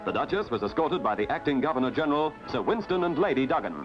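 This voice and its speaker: A male newsreel narrator with a fairly high, very lively voice. He declaims his script formally. Music plays behind him on a dull, band-limited old soundtrack. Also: fast talker.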